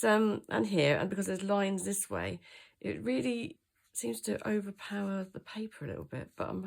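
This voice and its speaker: Throaty woman with a gravelly cold. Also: on the low side. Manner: thinks aloud, hesitant with many ums. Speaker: craft channel host. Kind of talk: chatty hands-on craft tutorial.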